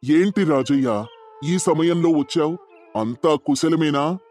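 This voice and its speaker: A man voicing an arrogant, greedy rich village landlord in a storybook tale, haughty, loud and scornful. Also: a little high, slow pace, soft background music, pitched above the performer's own voice.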